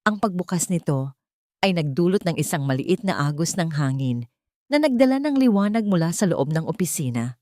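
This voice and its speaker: Female storyteller, lowish register, solemn scripted drama narration, measured phrasing, close and dry recording. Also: expressive, warm tone, dry recording.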